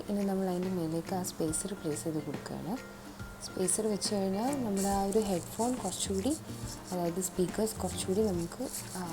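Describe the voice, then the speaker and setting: Low-pitched Malayali woman presenting a tutorial over music. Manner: conversational, mixes English terms into speech. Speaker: presenter of a product installation tutorial. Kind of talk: hands-on step-by-step demonstration. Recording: music playing behind the voice. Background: clearly audible background music.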